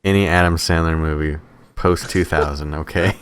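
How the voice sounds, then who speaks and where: A man with a deep, low-pitched voice chats as a podcast co-host. His delivery is casual and blunt, opinionated and peppered with swearing. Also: fairly even intonation, normal speed, fairly bright tone, clean tone.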